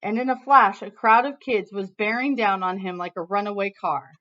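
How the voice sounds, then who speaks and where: A woman teacher reading a storybook aloud to students in an animated, emphatic way, with casual asides. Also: mid-range voice, moderate pace, muffled recording.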